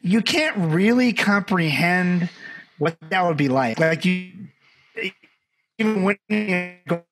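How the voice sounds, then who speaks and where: A man from Barbados talks as a podcast guest, winding through his stories and often restarting his sentences. His voice sits a little high for a man. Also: expressive, takes it slowly, a bit bright, soft background music. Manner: rambling, self-correcting storyteller. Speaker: podcast guest, documentary filmmaker. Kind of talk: conversational podcast interview.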